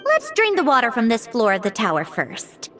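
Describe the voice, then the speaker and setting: A woman's voice: on the high side, wildly swooping pitch, moderate pace, a bit bright, a little hiss or hum. A woman voicing a clever, composed, slightly awkward young woman in a video game, polite and earnest. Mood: focused. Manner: polite, earnest, hesitant at times.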